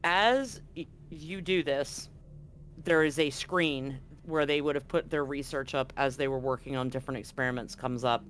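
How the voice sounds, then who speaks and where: A man's voice: mid-range voice, normal speed, fairly bright tone, slight background noise. A man acting as game master, narrating a tabletop roleplaying game and reading handouts aloud.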